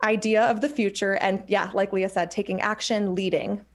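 A woman's voice: medium pitch, little pitch movement. Chatty woman reading cards aloud. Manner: reads card passages slowly, chatty and affirming in between. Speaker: podcast host reading oracle cards. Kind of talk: casual chat.

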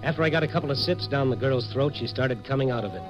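An actor playing a wry, streetwise American reporter, narrating a 1950s radio noir drama in dramatic style over a musical score. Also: male voice, mid-range voice, fast, music in the background, lightly acted, low-quality recording. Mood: concerned, wry. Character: hard-boiled, wry male Chicago night-beat newspaper reporter. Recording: vintage 1950s radio broadcast recording.

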